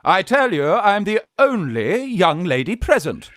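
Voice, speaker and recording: Farce radio drama: young man posing as a genteel aunt, high, very animated, archly coy, dry recording. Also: a little slow, no echo, heavily acted. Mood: haughty. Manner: flustered, haughty, archly coy. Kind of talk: Victorian farce radio acting.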